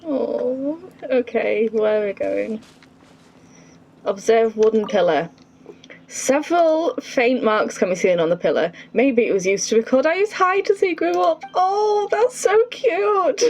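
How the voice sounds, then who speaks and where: A woman's voice: a little high, lively intonation, a little slow, creaky, a little mellow, low-quality recording. A woman giving casual, playful commentary while playing a game, self-deprecating and talking back to it. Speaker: gaming video creator.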